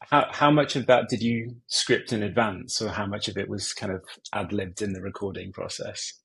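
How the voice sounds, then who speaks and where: A man's voice: on the low side, fairly even intonation, normal speed, creaky, a bit bright, breathy edge. A man moderating an interview in a conversational style.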